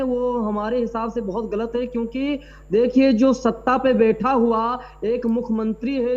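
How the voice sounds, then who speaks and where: A man gives his view as a religious leader on a news debate, speaking in a measured, halting way. His voice is very high, and the audio comes through dull and lo-fi. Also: a little mellow. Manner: measured, hesitant, repeats "dekhiye". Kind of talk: debate commentary.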